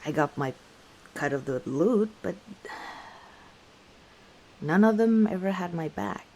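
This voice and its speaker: Girl doing a battle-worn orc tavern lady, low voice, takes her time, guarded n gently wry, asmr roleplay storytelling. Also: expressive. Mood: weary, hurt. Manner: unhurried, reflective, hesitant pauses, soft chuckles.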